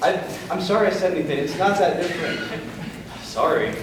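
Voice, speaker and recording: A man's voice: sits high, a little fast, natural voice. A young man acting a weary, sarcastic middle-aged brother in a live amateur stage comedy. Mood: exasperated. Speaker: actor in a school play. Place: large indoor stage in an auditorium. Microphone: distant camera microphone. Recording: echoey auditorium from far off, noisy.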